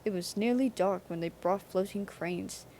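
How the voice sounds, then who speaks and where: A woman's voice: medium pitch, moderate pace, warm tone, a little hiss or hum. A woman narrating a children's storybook aloud from a script.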